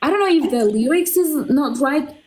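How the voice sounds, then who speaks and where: A woman's voice: high-pitched, expressive, normal speed, noisy background. A woman in her twenties, raised in Russia, hosting a casual livestream from a small bedroom through her phone, chatty and rambling with lots of fillers and casual swearing. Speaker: livestream host. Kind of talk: casual live chat. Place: small bedroom with colored lights. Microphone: phone front camera.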